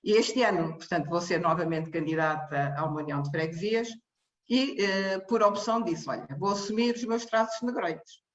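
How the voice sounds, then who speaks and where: A woman's voice: fairly low pitch, normal speed. A woman from Cape Verde gives a personal testimony in a hesitant way, circling back with fillers and restarts. Her voice comes through muffled and dull, with a roomy echo around it. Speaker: technical director of a social care charity. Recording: echoey, muffled room sound.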